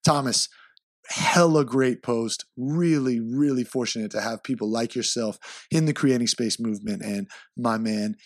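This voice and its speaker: A man hosting his podcast, giving upbeat, hyped shout-outs to listeners. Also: mid-range voice, moderate pace, dry recording, studio quality. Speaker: podcast host. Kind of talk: solo podcast intro.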